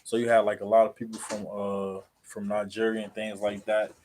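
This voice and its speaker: A man with an American accent chatting casually as a livestream host, in a halting, repetitive, rambling way full of fillers. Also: on the low side, completely flat delivery, a little mellow, a little hiss or hum. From New Jersey, USA.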